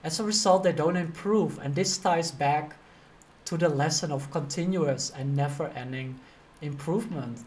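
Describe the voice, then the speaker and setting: A man's voice: a little high, normal speed, slight background noise. A man with a Dutch accent speaking informally and directly as a career coach teaching a motivational online course. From Netherlands.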